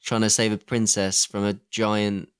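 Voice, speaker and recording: Man, fairly low voice, podcast host, conversational, inquisitive discussion lead. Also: level tone, unhurried, clean tone. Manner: conversational, questioning, leading the discussion. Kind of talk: casual film podcast discussion.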